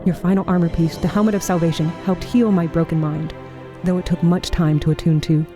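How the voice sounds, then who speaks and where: A woman as a quick-spoken noble fantasy guide. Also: on the low side, fairly even intonation, close to an everyday voice. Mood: welcoming, earnest. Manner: formal, earnest. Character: noble female fantasy guide, earnest, wise, urgent. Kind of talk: fantasy audio drama acting. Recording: music bed under voice.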